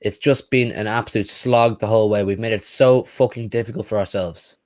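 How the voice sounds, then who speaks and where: A man's voice: on the low side, steady tone, fairly quick, a little mellow. A young man hosting a live football watch-along, ranting and swearing, then celebrating, and losing his voice.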